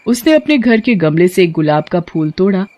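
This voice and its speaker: A woman's voice: on the low side, normal speed. Woman narrating a bedtime story. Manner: steady storytelling, firm and scolding when voicing the teacher. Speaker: narrator who also voices the teacher. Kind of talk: scripted audio story narration.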